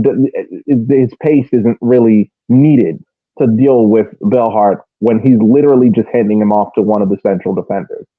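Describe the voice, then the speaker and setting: A man talks through a football match as a podcast co-host. His delivery is casual and detailed, with repeated phrases and restarts. The sound is narrow and phone-like, very dry, at a middling male pitch. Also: no echo. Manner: halting, repeats and restarts phrases while building detailed points. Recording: thin phone-like band-limited audio.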